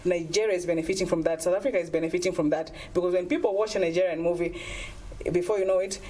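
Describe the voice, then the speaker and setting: A woman's voice: on the low side, fairly even intonation, rapid-fire, mellow. Earnest woman guest advocating for music. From Zambia. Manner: earnest, persuasive, frequent "you know" fillers. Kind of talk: interview answers.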